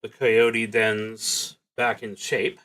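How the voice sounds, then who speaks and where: Slow-paced man streaming a solo tabletop game. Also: lowish voice, level tone, fairly bright tone. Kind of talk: casual game play narration, thinking aloud and reading prompts.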